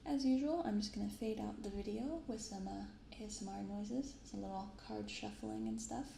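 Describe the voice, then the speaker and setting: A soft-spoken woman hosting an ASMR vlog in a hushed, gentle, unhurried manner on a binaural microphone. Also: medium pitch, vocal fry. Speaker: host of a card-opening ASMR channel. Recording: roomy sound with faint background noise. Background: light rain, faint ambient noise.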